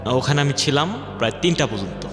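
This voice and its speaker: A man's voice: mid-range voice, animated, fast talker, a bit bright. A man with a Bangladeshi accent tells a personal story as a guest, in informal, colloquial speech tinged with dialect. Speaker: guest telling his life story. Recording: echoey long reverb, music bed behind.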